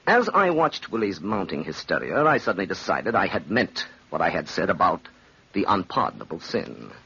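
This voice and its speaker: A dull, hissy old radio recording carries a cynical villain, gravelly and swooping widely in pitch. He is grandiose and taunting, savoring his own wickedness in theatrical old radio drama style. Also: very animated, normal speed, pushed and bright, full character voice. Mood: cold, scheming. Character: cynical, cold-blooded wicked man relishing his evil.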